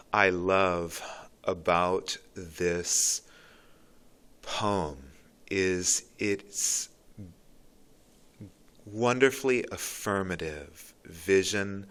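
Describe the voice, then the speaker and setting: A man with a low voice giving earnest, reflective commentary on a poem, pausing and restarting as he thinks. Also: fairly bright tone, smooth. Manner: thoughtful, earnest, with pauses and restarts. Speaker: literature commentator.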